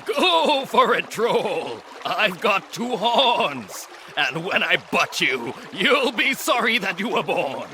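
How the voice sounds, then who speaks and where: A man's voice: high voice, very animated, slow pace, hardly any pauses, background noise, pitched above the performer's own voice, exaggerated voice. A man voicing a big, angry, fearless billy goat in a storybook reading. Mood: defiant. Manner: angry and defiant.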